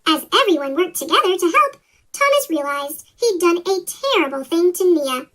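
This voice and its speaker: A woman's voice: very animated, normal speed, fairly bright tone. Chipmunk-voiced woman reading a children's story. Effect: sped-up chipmunk pitch-shift voice effect. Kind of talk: scripted read-aloud narration of a children's story.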